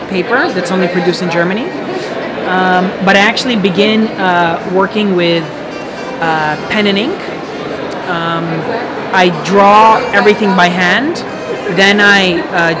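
A man in his thirties, born in Kazakhstan, talking casually and earnestly about his art, with many fillers and restarts, filmed close up in a busy indoor fair hall. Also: high-pitched. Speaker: artist. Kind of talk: casual interview. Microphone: handheld camera mic close up. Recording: loud crowd noise of a fair.

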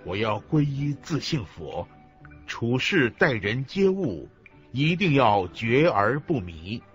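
A man reading lines in a scripted religious radio drama with deliberate, emphatic delivery. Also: mid-range voice, sing-song. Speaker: supporting reader. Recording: muffled audio with music bed. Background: background music.

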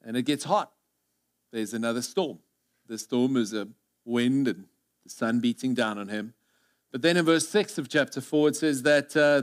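South African preacher in his thirties, high tenor, earnest sermon delivery on a headset mic. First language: Afrikaans. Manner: earnest, gesturing broadly, swings from loud emphasis to hushed. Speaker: pastor. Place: church stage indoors.

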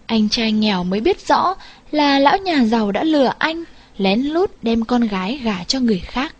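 Female storyteller for scripted folk tales, higher-pitched voice, expressive delivery. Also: moderate pace, dull audio. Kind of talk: scripted fairy tale narration.

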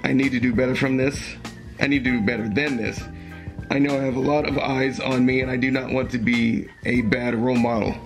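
A young man whose voice is wearing hoarse talks at a mid pitch, earnest and halting, with plenty of fillers and restarts. He sits close to a webcam in a small room at home, and clear music plays behind him. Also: level tone. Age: young adult. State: losing his voice, hoarse. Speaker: commentary YouTuber. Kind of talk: reading aloud and commenting.

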